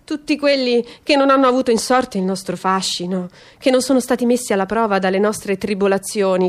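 A woman reads a literary monologue in a theatrical, emphatic way. Her voice sits at a middle pitch and shifts between hushed asides and forceful declarations, as in radio theatre. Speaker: stage reader performing a literary monologue.

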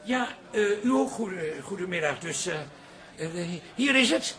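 A man's voice: high voice, lively intonation, unhurried, pushed and bright, heavily acted. A man playing a flustered, self-important café owner in a comic radio drama, stammering and hesitant. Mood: flustered, nervous.

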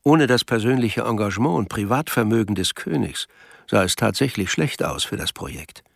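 A man's voice: fairly low pitch, animated, normal speed, gravelly, no echo, studio quality. A man reading scripted literary narration as the narrator of a radio drama.

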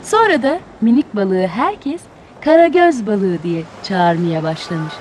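A woman's voice: medium pitch, very animated, slow pace, a little mellow, slight background noise, poor audio. A woman narrating a children's fairy tale expressively, as a storyteller.

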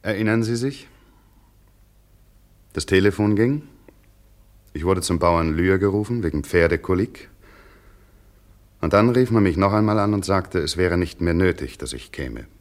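An actor playing a grave, resolute country doctor with a firm, clipped manner in a 1950s German radio drama. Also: male voice, low-pitched, animated, unhurried, pauses often. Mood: sombre.

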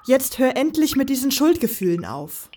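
High-voiced, breezy young woman acted by a woman. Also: sing-song, moderate pace, mellow, far from the mic, slight background noise. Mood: brisk, exasperated. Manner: breezy, teasing. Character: teasing, confident young woman, sneaky and carefree. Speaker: voice actor in a fan audio drama. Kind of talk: German fan audio drama acting.

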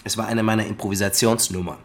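An actor playing a cheeky, evasive accomplice who mocks the police, in a German radio play. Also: male voice, lowish voice, steady tone, quick pace, slight background noise, lightly acted. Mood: sly, amused.

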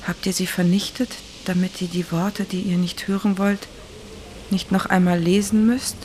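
An actress in a German radio drama playing a woman who reads aloud in an intense, hushed way. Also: fairly low pitch, level tone, normal speed, slight background noise, lightly acted. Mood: hushed, bitter.

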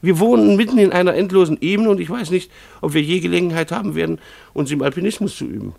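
An actor playing a harried schoolteacher and father in a German radio play, earnest and wry, with formal, deliberate phrasing. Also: sits high, vocal fry, a little mellow, full character voice. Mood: wry, apologetic.

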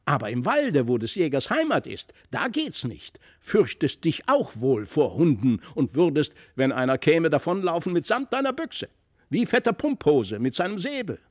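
A man voicing an impulsive, boastful young boy in a storybook, excitable and emphatic. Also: a little high, moderate pace, voice pushed up. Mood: scornful, indignant. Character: impulsive, boastful young boy, quick to scorn his toys. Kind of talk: storybook character voice.

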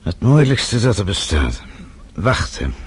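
An actor playing a composed older gentleman in a radio play, formal, measured and quietly authoritative. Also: fairly low pitch, sing-song, slightly rough, exaggerated voice. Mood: calm, assured. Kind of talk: radio drama acting.

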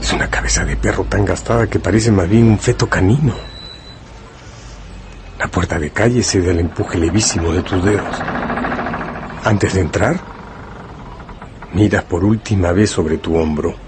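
A man's voice: low-pitched, moderate pace, pauses often, background noise. A man delivering dramatic scripted narration for a radio drama, addressing the listener directly. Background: faint music.

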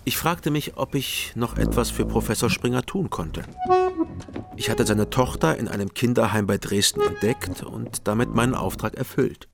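Low male voice, gravelly, dry and measured, hard-boiled East German detective, crime radio drama narration, music behind. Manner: measured, dry, matter-of-fact.

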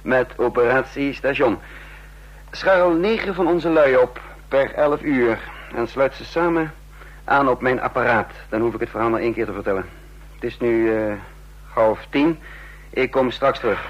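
A man playing a brisk, scheming intelligence chief in a Dutch radio play, curt and commanding, with a husky voice. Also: mid-range voice, dull audio, lightly acted. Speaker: radio drama lead and narrator. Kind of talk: Dutch radio play acting, dialogue and narration.

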